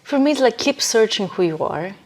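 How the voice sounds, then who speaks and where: A woman's voice: a little high, lively intonation, a little fast. A woman speaking as a podcast guest and coach in a conversational, motivational way, stressing key words emphatically.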